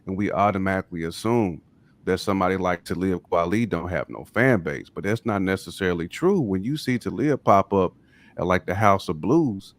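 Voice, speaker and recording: American guy on a podcast, lowish voice, chatting casually, really drives his points home. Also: animated, fairly quick, dry recording. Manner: emphatic, repeats his points. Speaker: music podcast panelist. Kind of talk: casual chat, opinionated discussion.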